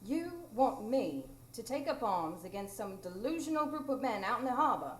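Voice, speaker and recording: A woman's voice: on the high side, animated, moderate pace, close to an everyday voice. A woman playing a feisty, plain-spoken landlady who scolds in a motherly, colloquial way, in a live radio play. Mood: incredulous, game. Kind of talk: live radio comedy-drama acting. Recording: echoey live performance room.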